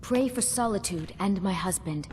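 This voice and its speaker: A woman's voice: medium pitch, animated, normal speed, darkish tone, a little hiss or hum, lightly acted. A woman voicing a young widowed ruler in a fantasy video game, regal and solemn, with grief and bitterness under her formal speech. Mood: solemn, resolute. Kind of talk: scripted game dialogue. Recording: long hall reverb.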